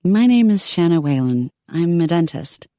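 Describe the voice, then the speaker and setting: A woman's voice: fairly low pitch, animated, moderate pace, warm tone, no echo, clean studio sound, voice pushed up, lightly acted. A woman voicing a polite but wary modern woman dentist.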